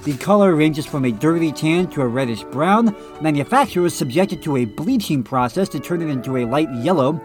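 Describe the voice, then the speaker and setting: A man's voice: a little high, lively intonation, brisk, few pauses, faint music behind. A man on a casual podcast with a slightly nasal voice, reading a text aloud and breaking off into blunt remarks of his own. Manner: reads passages then adds blunt off-the-cuff remarks. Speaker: podcast co-host.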